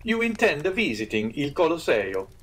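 A man's voice: fairly high pitch, big pitch swings, normal speed, a bit bright, voice pushed up. A man voicing a proud, easily piqued Italian innkeeper in a storybook, earnest and emphatic. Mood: alarmed, insistent.

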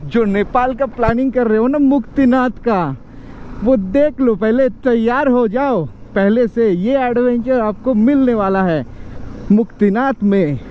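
A man's voice: extremely high pitch, unhurried. A man giving casual commentary to viewers while riding a motorcycle on a mountain road outdoors. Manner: chatty, addresses viewers as brother. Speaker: motovlogger. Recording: muffled, with loud engine and wind noise.

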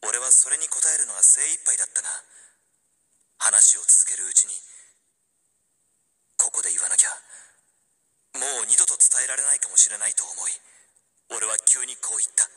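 Male actor as lovelorn young man, radio drama narration, introspective, very bright tone, expressive pitch swings. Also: normal speed, pauses often, heavily acted, rough recording. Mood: yearning, earnest. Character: wistful young man pining for his old love.

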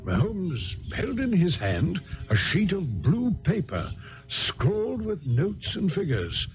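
An actor playing a loyal, eager doctor companion who narrates a 1970s radio drama in an earnest, attentive way. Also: male voice, high voice, huge pitch swings, takes it slowly, highs cut off, faint music behind, heavily acted.

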